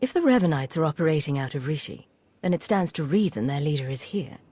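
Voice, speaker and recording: A woman's voice: sits low, expressive, quick pace, warm tone, husky. A woman playing a cool, sardonic imperial agent in a video game, dry, clipped and controlled. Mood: dry, probing.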